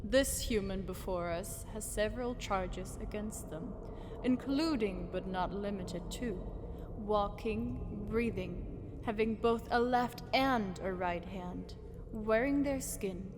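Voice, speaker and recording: A woman playing an officious, exasperated fae court prosecutor, formal and deadpan, in a fantasy audio drama. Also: medium pitch, takes it slowly, natural voice. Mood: solemn, disdainful. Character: officious, exasperated female fae prosecutor reading out absurd charges. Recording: noisy, long echoing hall reverb.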